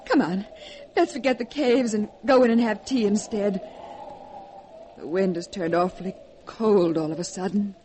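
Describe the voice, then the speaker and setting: An actress playing an anxious, loving young wife in a 1940s radio drama, with a breathless, emotional delivery. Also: female voice, medium pitch, moderate pace, natural voice. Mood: cheerful. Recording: old radio broadcast with faint hiss.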